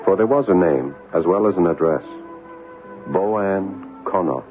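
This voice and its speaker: An actor playing an earnest, curious American writer who also narrates, in old-time radio drama style. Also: male voice, low-pitched, measured pace, soft background music. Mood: reflective narration. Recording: tinny, noisy old radio broadcast.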